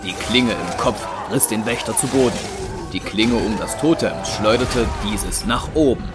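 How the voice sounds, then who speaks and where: A man's voice: fairly low pitch, unhurried. A man narrating a scripted audio drama as a dramatic storyteller. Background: music.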